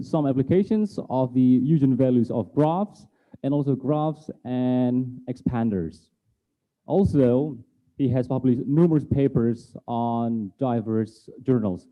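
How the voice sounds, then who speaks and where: Man, moderator, reads speaker bio, careful and slightly halting, tinny phone-like sound. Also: medium pitch. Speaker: conference moderator. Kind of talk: reading a speaker introduction. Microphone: video call. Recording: tinny phone-like video call audio.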